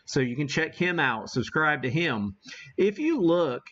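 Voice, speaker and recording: A man's voice: on the high side, big pitch swings, a little slow, a bit bright, muffled recording. A man hosting a ham radio livestream, chatting casually in a rambling, self-deprecating way as he demonstrates. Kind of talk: casual live-stream chat and demonstration.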